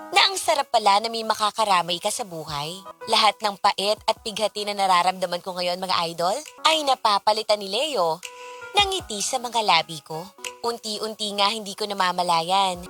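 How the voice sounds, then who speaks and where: Radio drama wife played by an actress: bright, clear-cut female voice, conflicted over an old flame, confiding, music underneath. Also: medium pitch. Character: married woman torn over her returning first love. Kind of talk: Tagalog radio drama acting, dialogue and confiding narration.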